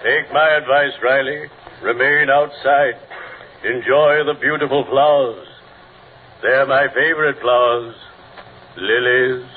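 A male actor playing a genteel, morbid undertaker in old-time radio comedy, speaking formally and slowly with a solemn deadpan delivery. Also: medium pitch, lightly acted. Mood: ominous. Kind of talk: 1940s-50s radio comedy acting. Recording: noisy, tinny old radio broadcast.

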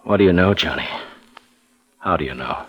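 A guilt-ridden, resigned working man from 1940s radio drama. His voice is low and husky, and he speaks wearily and haltingly. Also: expressive, dull audio, full character voice. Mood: wary. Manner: halting, subdued. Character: weary, guilt-ridden male typesetter, quietly resigned.

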